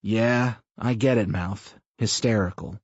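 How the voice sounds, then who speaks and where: A man's voice: on the low side, unhurried, husky, no echo, dull audio. A man voicing a troubled, earnest father who talks in a weary, pleading way in an audiobook.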